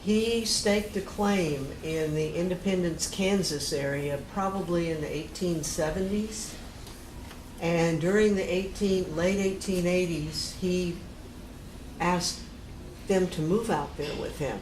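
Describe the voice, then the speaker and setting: Mature American woman, informal family historian, detailed with searching pauses, noisy echoey room. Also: lowish voice, a little mellow. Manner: detailed, pausing to recollect. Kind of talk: casual explanatory family history talk. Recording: echoey room with clear noise.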